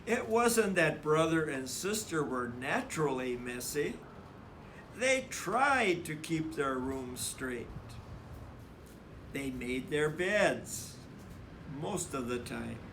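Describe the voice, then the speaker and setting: Male children's story reader, unhurried and deliberate, stressing words, with faint background noise. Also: a little high, very animated, a bit bright. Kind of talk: read-aloud children's story narration.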